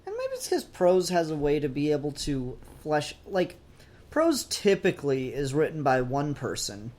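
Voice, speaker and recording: A man's voice: on the high side, huge pitch swings, normal speed, a little mellow. A man co-hosting a podcast, talking casually and informally about pop culture. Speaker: podcast co-host. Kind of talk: casual discussion of comics and TV.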